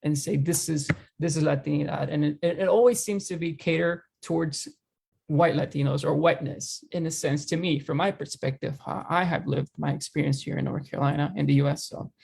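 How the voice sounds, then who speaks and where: A young man co-hosting a podcast discussion, speaking haltingly and searching for words, with many fillers. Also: fairly high pitch, fairly even intonation, vocal fry, darkish tone, slightly rough. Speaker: podcast co-host and teacher. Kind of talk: casual discussion.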